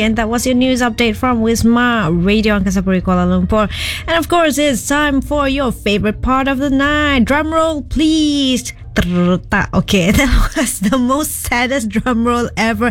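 Chatty woman radio DJ. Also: fairly high pitch. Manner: chatty, laughs between lines. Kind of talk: late-night radio request show hosting. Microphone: studio microphone.

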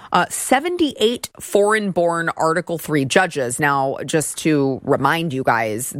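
A woman's voice: lowish voice, no room sound, studio quality. A woman hosting a political commentary show, talking in a sarcastic, mocking way. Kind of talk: opinion commentary monologue.

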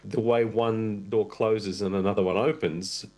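Deep-voiced middle-aged man, chatty and candid. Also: fairly even intonation, a little slow, a little mellow. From Russia. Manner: chatty, candid, self-deprecating asides. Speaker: actor and producer, guest. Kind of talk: conversational interview.

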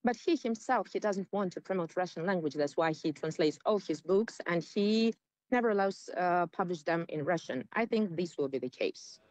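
A woman with a Ukrainian accent and a somewhat low voice, heard in dry sound. She is a guest in a live discussion, arguing emphatically in non-native English phrasing. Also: expressive, moderate pace, a little mellow, no room sound, low-quality recording. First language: not English. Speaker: interview guest.

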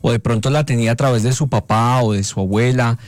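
A man on a radio show asking a guest slow, earnest questions. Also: medium pitch, darkish tone, no echo. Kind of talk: interview questions.

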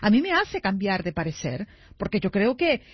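A woman's voice: mid-range voice, big pitch swings, normal speed, breathy edge, no echo, muffled recording. A woman hosting a call-in radio show, speaking reflectively and asking callers short, probing questions. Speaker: radio host.

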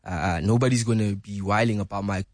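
A man's voice: lowish voice, fairly even intonation, a little fast, a little mellow, no room sound, clean studio sound. Hesitant South African man radio presenter. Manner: hesitant, many "uh" and "you know", laughs. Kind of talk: casual chat.

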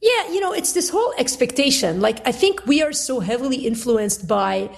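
Gravelly, husky woman from Lebanon. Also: a little high, sing-song, moderate pace. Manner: reflective, earnest, speaks in long flowing thoughts. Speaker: guest author. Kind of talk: radio talk show conversation.

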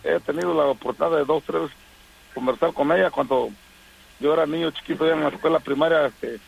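A man's voice: a little high, fairly quick, vocal fry, husky, lo-fi sound. A man from Oaxaca, Mexico, calling in to a radio show to send long greetings, rambling with many hesitant fillers. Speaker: radio caller. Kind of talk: casual phone-in greetings.

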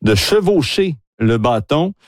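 A man's voice: a little high, very animated, takes it slowly, dry recording, studio quality. Amused Quebec man on radio. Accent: Quebec French. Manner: amused, explanatory, chuckling. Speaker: radio columnist. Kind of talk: casual radio chat. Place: radio studio.

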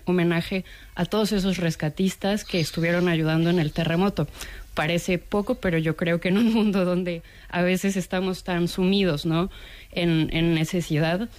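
A woman co-host speaks thoughtfully on the radio, often checking in with tag questions. Her voice sits fairly low for a woman. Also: a little mellow. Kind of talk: reflective interview question.

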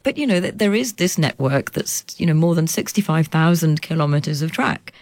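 A woman in her forties, a journalist being interviewed, talking animatedly with laughs and lively swings in pitch. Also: brisk, dry recording, clean studio sound. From United Kingdom. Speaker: journalist and author guest. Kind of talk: conversational interview.

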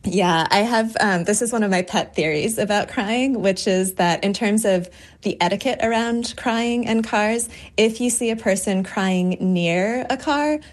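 A woman poet and author speaking as a guest in a radio interview, thoughtful and articulate, laughing easily. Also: mid-range voice.

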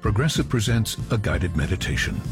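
A man's voice: lowish voice, very animated, brisk, vocal fry, music in the background. A man announcing scripted advertising tags.

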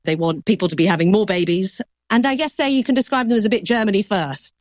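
A woman news correspondent giving a measured explanation on a news program, softening points with qualifiers. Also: medium pitch, no room sound, studio quality.

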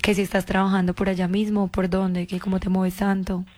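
A woman's voice: medium pitch, monotone, brisk, a little mellow. A woman from Colombia with a Paisa accent, chatting casually on a phone call in a coaxing, playfully evasive, insistent way. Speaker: phone caller.

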